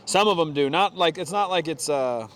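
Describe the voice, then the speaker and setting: A man's voice: on the high side, normal speed, fairly bright tone. A man chatting casually and informally as he talks through hands-on gear installation, outdoors among friends. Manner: informal, slangy, says dude. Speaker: motorcycle vlogger.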